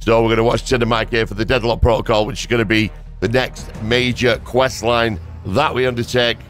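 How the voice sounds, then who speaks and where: A hoarse man giving casual, rambling gameplay commentary as a gaming YouTuber, thanking his viewers. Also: medium pitch, little pitch movement, brisk, fairly bright tone, soft background music.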